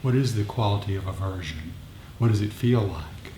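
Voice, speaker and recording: A low, mellow male voice teaching a meditation group. He explains reflectively, circling back over his phrases. The room is echoey. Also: moderate pace. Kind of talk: informal dharma discussion and meditation guidance. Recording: echoey room, faint background noise.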